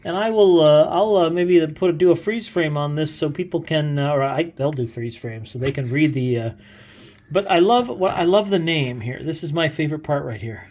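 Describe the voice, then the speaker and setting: A man's voice: fairly high pitch, moderate pace, a little mellow. A middle-aged man chatting casually and unscripted as he reviews a gadget, rambling along in an amused way. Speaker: co-host, dad showing vintage gadgets.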